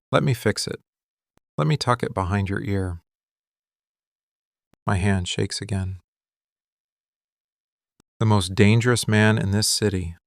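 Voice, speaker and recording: A man playing a possessive, tender mafia boss in a boyfriend ASMR roleplay, speaking soothingly and deliberately in short, hushed phrases. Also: lowish voice, creaky, no echo, clean studio sound. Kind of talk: boyfriend ASMR roleplay, slow intimate monologue.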